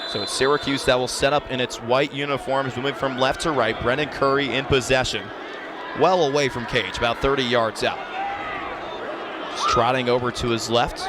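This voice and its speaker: A man doing live lacrosse play-by-play on radio as a sportscaster, describing the action urgently. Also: mid-range voice. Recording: very loud crowd and arena noise. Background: loud game crowd.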